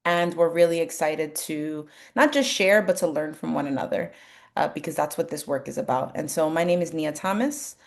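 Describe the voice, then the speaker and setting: Brief: woman, New York City, Spanish native speaker, low voice, presenting on a video call, restarts often. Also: normal speed, vocal fry. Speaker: speech language pathologist presenter. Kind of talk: webinar presentation.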